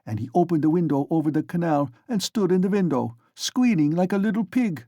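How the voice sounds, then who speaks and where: A man voicing a flustered, garrulous landlady with a German accent, pattering rapidly as she defends herself. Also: a little high, lively intonation, mellow, no room sound, clean studio sound, pitched above the performer's own voice. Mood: indignant pleading. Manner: rapid pattering, repeated "Mister Captain".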